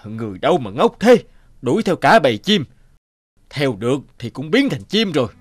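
A man's voice: medium pitch, sing-song, moderate pace, husky, soft background music. A man playing a sceptical, curious middle-aged farmer in a cartoon. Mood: scornful. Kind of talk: cartoon dub acting.

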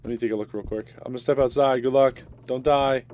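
A man's voice: medium pitch, fairly even intonation, fast talker, a little mellow. A man streaming a horror game, chatting casually with a friend and muttering to himself with sighs. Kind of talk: casual gaming chat.

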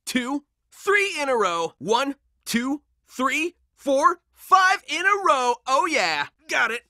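An actor voicing a young, upbeat hedgehog speedster hero in a video game, breezy, self-assured and teasing. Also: male voice, extremely high pitch, very animated, slow pace, sharp tone, no echo, clean studio sound, heavily acted. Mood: upbeat, cocky.

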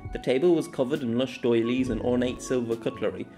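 A man's voice: mid-range voice, brisk, dark tone, soft background music. Man narrating a fantasy novella.

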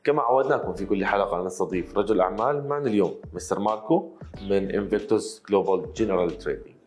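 A man's voice: deep voice, rapid-fire, a little mellow. A man with an Arabic accent presenting and interviewing formally as a show host. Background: background music.

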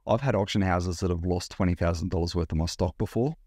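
A man in his forties with an Australian accent, talking straight to camera about reselling in a blunt, animated way. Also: deep voice, fast, dry recording, studio quality. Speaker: reseller vlogger. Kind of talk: direct-to-camera vlog talk. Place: indoor room by large window.

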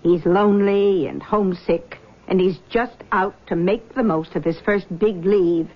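A woman's voice: medium pitch, unhurried. An actress playing a doting but meddling, disapproving middle-aged mother, coaxing and pointed, in a 1970s radio drama. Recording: dull vintage radio recording.

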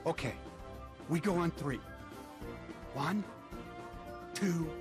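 An actor playing a friendly, take-charge toy cowboy in a video game, folksy and commanding. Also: male voice, on the high side, slow pace, music in the background.

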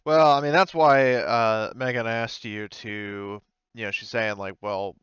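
A man's voice: on the low side, steady tone, measured pace, a bit bright, smooth, dry recording, highs cut off. A man acting as game master, improvising narration casually in hesitant, explanatory phrases.

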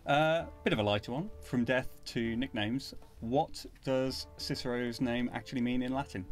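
A man's voice: mid-range voice, moderate pace, faint music behind. A man, hosting a casual quiz as banter. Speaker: interviewer, quiz host, audio drama director. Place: recording studio control room, indoors.

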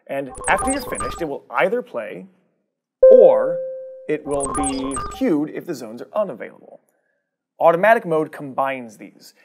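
A man in his thirties narrating a scripted software training tutorial, explaining step by step with emphasis on key terms. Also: a little high. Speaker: training video presenter.

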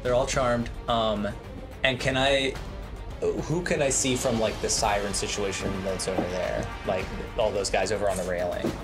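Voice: medium pitch, steady tone, moderate pace, over music. A player chatting casually at a tabletop role-playing game.

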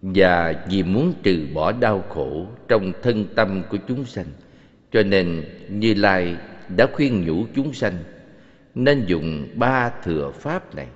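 A man voicing a serene, authoritative Buddha in an animated scripture film, teaching in a measured, solemn delivery. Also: low voice. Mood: calm explaining. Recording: muffled, long cavernous reverb.

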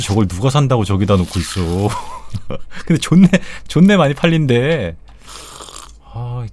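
Lively, rambling man in his forties. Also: fairly low pitch, a little hiss or hum. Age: 40s. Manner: rambling, joking banter with viewers, blunt swearing. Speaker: game streamer. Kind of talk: casual live-stream chat while gaming.

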